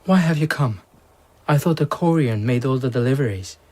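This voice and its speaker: An actor plays an earnest young man in a radio drama. His husky, breathy voice moves widely in pitch, urgent and emotionally exposed, as an anxious lover. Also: lively intonation, normal speed, warm tone, lightly acted. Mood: eager. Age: young adult.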